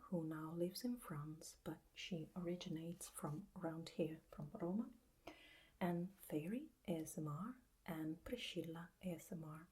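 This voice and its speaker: A woman with an Italian accent giving a gentle whispered ASMR lesson, soft and hesitant with many pauses. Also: fairly low pitch, poor audio. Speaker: ASMR teacher.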